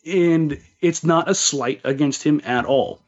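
A man's voice: on the high side, measured pace, lo-fi sound. A man co-hosting a sports podcast, giving casual, opinionated basketball analysis and repeating words emphatically as he reasons.

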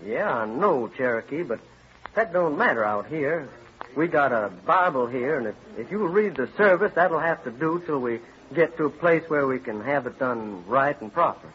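An actor playing an earnest, eager young frontier bridegroom with plain American country speech in an old-time radio western. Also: male voice, medium pitch, lively intonation, moderate pace, natural voice. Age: young adult. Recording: muffled old radio, faint noise and crowd.

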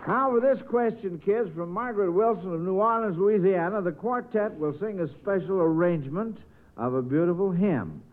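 A man's voice: sits high, moderate pace, phone-quality sound, slight background noise. A man hosting a quiz show in a 1950s radio style, heard on an old recording.

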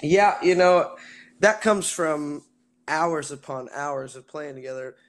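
A man who sings in a band, with a raspy voice, talking casually in an interview with lots of fillers. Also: a little high, slow pace. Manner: relaxed, rambling with many fillers. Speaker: band singer.

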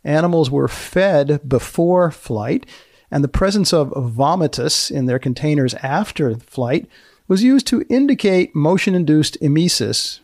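A man hosting and narrating scripted science material in a measured way, pausing to explain terms. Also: on the high side, expressive, a little mellow, dry recording, studio quality. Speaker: host and presenter.

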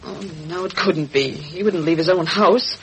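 A woman's voice: lowish voice, normal speed, close to an everyday voice, rough recording. An actress playing an earnest, alert newspaper woman in a 1940s radio drama, speaking with urgency. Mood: alarmed. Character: earnest, alert young female newspaper colleague. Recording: old radio recording, faint noise.

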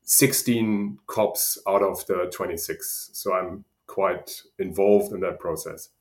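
German-accented man, halting speech. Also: sits low, steady tone. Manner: halting, many ums and uhs. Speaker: guest researcher. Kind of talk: podcast interview.

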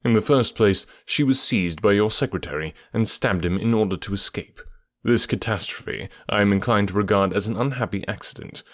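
A composed detective laying out his case in calm, deliberate phrases, as a male narrator plays him. His voice is low and steady. It sounds close and dry. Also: no echo, natural voice.

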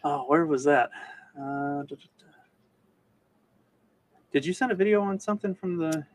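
A man's voice: on the high side, normal speed. A man co-hosting a podcast, talking in a loose, casual, dryly joking way. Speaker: podcast co-host. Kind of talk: casual chat.

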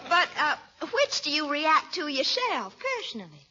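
A woman's voice: high voice, very animated, normal speed, crowd noise. An actress playing a coy, vain, flirtatious Southern lady in 1940s radio comedy style, with a teasing, affected manner. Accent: Southern American. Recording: muffled, noisy old radio recording.

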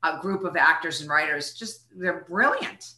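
A woman's voice: sits low, moderate pace, pushed and bright, roomy sound, slight background noise, poor audio. An older woman, an actress being interviewed, casually reminiscing in conversation.

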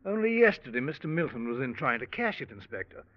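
A man's voice: medium pitch, very animated, quick pace, lightly acted. An actor playing a polite, fussy bank cashier in 1940s radio drama style, proper and earnest as he explains. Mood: polite, concerned. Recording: dull vintage radio recording.

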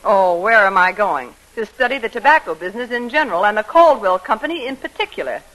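An actress playing a brisk, confident, ambitious woman executive in an old radio comedy, talking in an assertive, wisecracking way. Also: medium pitch, lively intonation, fairly bright tone, close to an everyday voice, low-quality recording. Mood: eager, determined. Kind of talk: 1940s-50s radio comedy acting. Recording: vintage radio broadcast sound.

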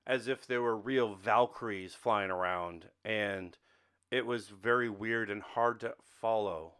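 A man's voice: lowish voice, steady tone, a little slow, a bit bright, clear, resonant tone. A man co-hosting a podcast, chatting casually about films and games. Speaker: podcast co-host. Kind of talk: casual film and game discussion.

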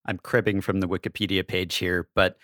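Lowish-voiced man in casual podcast discussion. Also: little pitch movement, fairly quick, fairly bright tone, no room sound, studio quality. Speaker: podcast guest. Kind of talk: casual pop-culture discussion.

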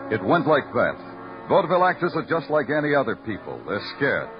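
A man's voice: mid-range voice, very animated, a little fast, music in the background, close to an everyday voice. An actor playing a clipped, hard-boiled male police lieutenant who also narrates, in 1940s radio acting. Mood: narrating. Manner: clipped, terse. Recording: noisy muffled old radio recording.

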